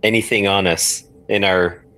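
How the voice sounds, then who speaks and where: A man talking casually as a player in a story game, thinking aloud in a halting, hesitant way. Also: fairly low pitch, fairly even intonation, sharp tone. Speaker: podcast guest playing a text adventure.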